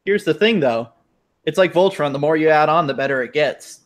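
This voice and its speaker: A man's voice: a little high, fairly quick. A man in his twenties in a casual group chat, blunt and crude, swearing and cracking wry jokes. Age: 20s.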